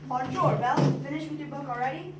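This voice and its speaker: A woman's voice: high-pitched, quick pace, lightly acted. A woman reading lightly acted parts in an amateur classroom play, such as a vain, pushy young man. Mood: friendly. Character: bookseller and vain, pushy young suitor Gaston. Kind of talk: amateur readers theater in drama class. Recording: noisy echoey room, distant mic.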